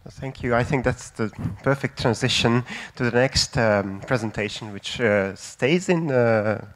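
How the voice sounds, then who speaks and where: Male moderator, mid-pitched voice, halting, lots of fillers. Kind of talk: introducing speakers at a conference.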